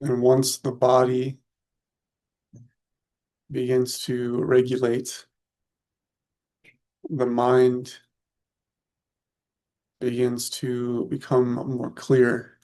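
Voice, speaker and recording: A man leading a guided meditation in short, unhurried, soothing phrases with long pauses between them. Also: mid-range voice, completely flat delivery, dark tone.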